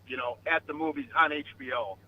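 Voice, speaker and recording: A man in his fifties calling into a radio show, talking in a quick, matter-of-fact way. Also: fairly high pitch, steady tone. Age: 50s. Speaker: caller. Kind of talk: call-in phone conversation. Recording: dull lo-fi phone call with faint noise.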